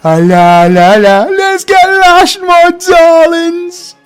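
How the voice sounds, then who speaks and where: A man's voice: very high voice, slow, faint music behind. A British man in a comedic audio roleplay, playing a foul-mouthed, cocky villain cast as Scrooge, cheeky and theatrical. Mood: cocky, rowdy.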